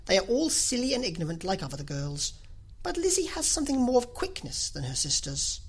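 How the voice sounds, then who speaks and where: Woman reading as a wry, teasing middle-aged gentleman. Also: mid-range voice, sing-song, brisk, slightly rough. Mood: wry dismissal. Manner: dry, reserved, ironic.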